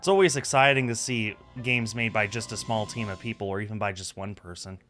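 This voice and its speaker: A man's voice: fairly low pitch, a little fast, fairly bright tone. A man in his thirties giving casual game stream commentary and reading on-screen text aloud. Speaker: streamer, host. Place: indoor room at a streaming setup. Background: music.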